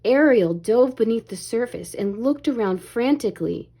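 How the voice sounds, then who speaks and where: A woman reading a children's story aloud in an expressive, animated way, as if to young listeners. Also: on the high side, normal speed. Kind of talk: expressive read-aloud narration, pausing to ask children questions.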